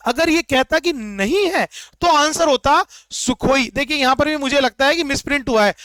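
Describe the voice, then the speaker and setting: A man's voice: very high-pitched, pushed and bright, no room sound. A man teaching exam preparation, walking through answer keys with repeated phrases and rhetorical questions. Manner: repetitive, explanatory, rhetorical questions.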